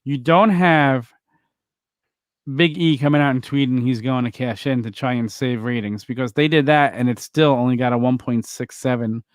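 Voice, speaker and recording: A man's voice: mid-range voice, steady tone, moderate pace, clear, resonant tone, no room sound, clean studio sound. A man co-hosting a wrestling podcast, rambling and teasing in casual chat.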